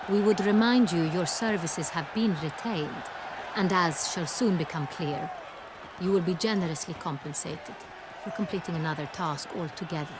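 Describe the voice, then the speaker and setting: An actress playing a haughty, imperious young duchess with a volatile temper and regal, formal speech in a video game. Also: female voice, lowish voice, moderate pace, a crowd in the background, background noise, lightly acted. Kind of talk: video game dramatic acting. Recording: music under the voice.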